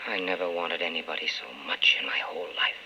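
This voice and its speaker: A man's voice: low-pitched, level tone, quick pace, close to an everyday voice. An actor playing a principled, wistful man in love, speaking earnestly in an old-time radio drama. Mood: tender. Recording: muffled old radio broadcast with hiss.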